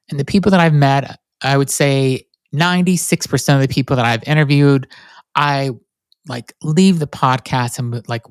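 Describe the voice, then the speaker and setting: A man's voice: medium pitch, gravelly, dry recording, studio quality. A man with a nasal voice hosting his own podcast, talking candidly and rambling with many fillers and restarts. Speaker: podcast host. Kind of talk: solo reflective podcast monologue.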